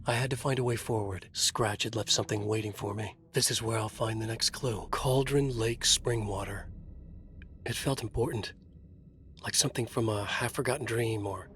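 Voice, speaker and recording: A man's voice: on the low side, steady tone, normal speed, a bit bright, a little hiss or hum, close to an everyday voice. A man playing a haunted writer in a video game, narrating his nightmare in terse, brooding, dramatic lines. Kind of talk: scripted noir-style game narration.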